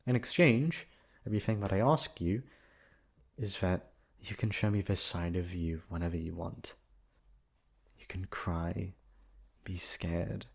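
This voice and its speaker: A man voicing a gentle, earnest, timid teenage prince in a bedtime story, speaking softly and hesitantly. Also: deep voice, vocal fry, full character voice.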